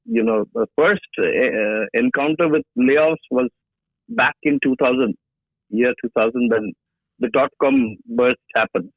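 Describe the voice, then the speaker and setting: A man in his sixties, a guest with a background in HR, explaining slowly and haltingly during a phone interview. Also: medium pitch, sing-song, vocal fry, dry recording. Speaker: HR industry guest. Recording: dry tinny phone line.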